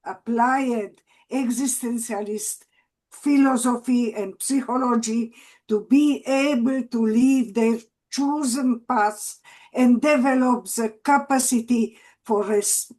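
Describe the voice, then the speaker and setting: An older woman from Romania with a Hungarian accent, speaking halting English on a video call as a workshop participant. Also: fairly high pitch, darkish tone. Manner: halting, hesitant, with restarts. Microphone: online video call.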